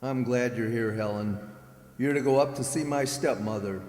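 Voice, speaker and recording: A man's voice: medium pitch, moderate pace, a little mellow, natural voice. Man playing a troubled professor, echoey hall. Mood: worried, stern. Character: troubled, formal professor, master of the house. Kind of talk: radio drama acting. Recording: echoey hall, long reverb.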